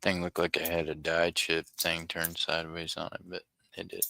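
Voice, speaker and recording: Deep-voiced chatty man in his forties. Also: level tone, moderate pace, gravelly, bright, forceful tone, no room sound. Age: 40s. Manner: rambling, chatty, talks to viewers by name. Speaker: coin roll hunter streaming live. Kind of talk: casual live-stream chat with viewers.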